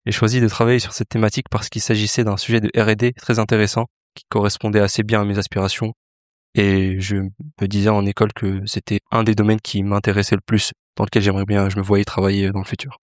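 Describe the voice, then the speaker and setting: A young man with a fairly low voice speaks in a flat, even tone, steady and formal. The recording is dry and close. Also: fast talker, dry recording. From Paris, France. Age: young adult. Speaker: engineering student and intern.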